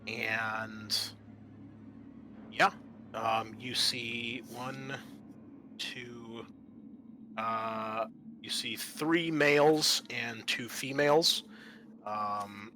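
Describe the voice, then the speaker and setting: A man narrating an improvised tabletop game as game master, halting often mid-sentence. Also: medium pitch, bright, forceful tone, a little hiss or hum.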